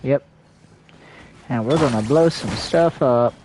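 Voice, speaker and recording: A man's voice: mid-range voice, slow pace, noisy background. A man giving casual live commentary as a game streamer, tossing in laid-back, dry asides.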